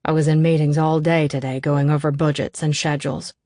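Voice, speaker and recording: Woman doing a measured, reserved businessman, low and even, storybook read. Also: no room sound, close to an everyday voice. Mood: matter-of-fact. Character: calm, reserved young businessman.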